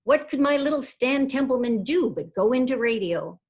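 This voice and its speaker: A woman plays a doting mother from a storybook, bursting with pride over her grown son. Her voice lifts higher and she exclaims as she boasts. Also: animated, normal speed. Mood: proud, exclamatory.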